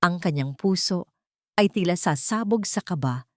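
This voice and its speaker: A woman narrating a dramatic audiobook story in a solemn, literary way, with short deliberate phrases. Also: fairly low pitch, expressive, dry recording, studio quality.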